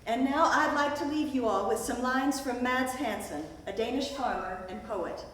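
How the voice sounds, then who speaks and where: A woman's voice: sits high, moderate pace, fairly bright tone. Actress as distant, echoing woman reciting verse. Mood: gracious, reverent. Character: gracious 1920s folk school woman reciting a pastoral poem. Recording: distant echoey hall, faint noise.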